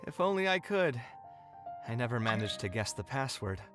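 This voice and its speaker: An earnest young architect played by a man speaks in polished anime game dialogue. He is expressive and a touch wry, with an articulate, reflective storytelling delivery. Music plays under the voice. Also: mid-range voice, normal speed, close to an everyday voice. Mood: playfully exasperated. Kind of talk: anime-style video game voice acting.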